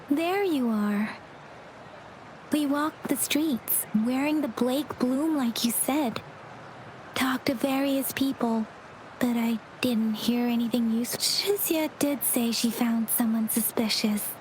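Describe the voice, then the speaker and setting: A caring young companion from a video game. She speaks slowly in a high, gentle, earnest voice, with ambient noise behind her. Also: rough recording. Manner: soft-spoken, earnest, concerned. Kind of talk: scripted video game acting. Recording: clear background ambient noise.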